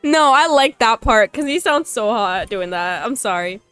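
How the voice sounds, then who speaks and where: A woman's voice: a little high, expressive, normal speed, a bit bright, clear, resonant tone, no room sound. A woman casually reacting to a game as a streamer, playful, gushing and teasing. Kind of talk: casual gameplay reaction chat. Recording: background game music.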